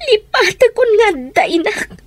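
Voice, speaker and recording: A woman's voice: very high voice, animated, unhurried. A woman playing a hurt young daughter in a radio drama, pleading tearfully and plaintively. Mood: hurt, bitter. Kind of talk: melodramatic radio drama acting.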